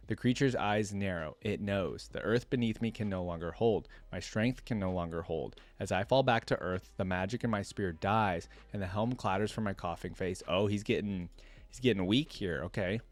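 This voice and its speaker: Male reaction host, lowish voice, informal and colloquial delivery between storytelling and off-the-cuff commentary, very little room sound. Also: no room sound. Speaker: reaction video host reading a story aloud. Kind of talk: reading a fantasy story aloud with casual off-the-cuff commentary.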